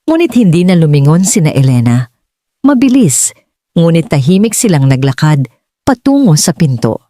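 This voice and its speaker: A woman's voice: low voice, sing-song, moderate pace, warm tone, dry recording, clean studio sound. A woman with a Filipino accent narrating a scripted dramatic story as an audiobook reader.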